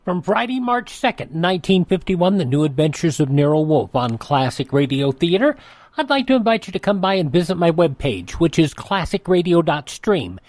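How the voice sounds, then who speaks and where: A man hosting a classic radio show, making announcements in a relaxed, conversational way. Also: a little high, normal speed, no room sound. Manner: relaxed and conversational, with light fillers. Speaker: host.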